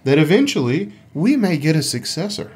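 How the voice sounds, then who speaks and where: A man's voice: a little high, big pitch swings, a little fast, a little mellow, breathy edge. A man co-hosting a casual gaming podcast, with a playful, dryly teasing way of talking. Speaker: podcast co-host.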